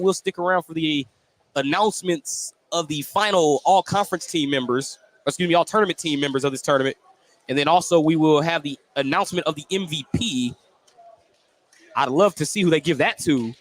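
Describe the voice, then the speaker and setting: A man's voice: a little high, fairly quick, fairly bright tone. A man calling live basketball play-by-play as a radio announcer from a gym. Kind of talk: live sports commentary. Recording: light gym noise behind the commentary. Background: gym ambience.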